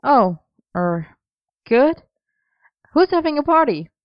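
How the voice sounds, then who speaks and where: An excitable, cheeky young man, as a woman narrator puts him on, raised in pitch and bursting with energy. His lines come out animated and exclamatory. The recording is dry and slightly muffled. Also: slow pace, no room sound, full character voice. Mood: excited.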